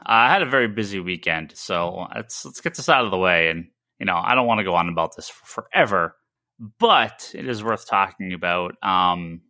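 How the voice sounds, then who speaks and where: A man's voice: low voice, expressive, moderate pace, pushed and bright, no room sound, clean studio sound. A man hosting a casual comics news podcast, talking emphatically and often repeating words for emphasis. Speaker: podcast host.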